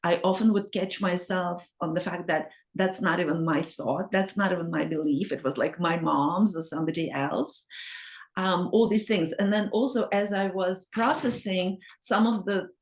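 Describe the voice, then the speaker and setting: Soft-spoken woman, native Russian speaker. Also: lowish voice, steady tone. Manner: thoughtful, self-correcting, unhurried. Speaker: interview guest. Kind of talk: reflective personal storytelling.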